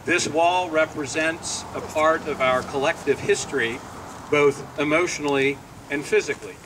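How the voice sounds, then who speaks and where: A man delivering a measured, formal prepared speech at an outdoor dedication ceremony. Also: mid-range voice. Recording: distant mic, faint outdoor noise.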